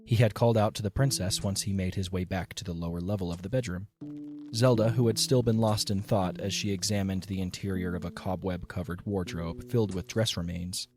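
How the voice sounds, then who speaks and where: A man giving scripted narration for a fan audio drama, in a measured, even storytelling manner. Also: low voice, over music.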